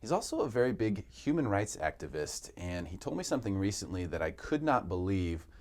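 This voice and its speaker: A man hosting a local talk show, interviewing guests in an upbeat, lively way. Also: deep voice, brisk. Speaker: radio show host.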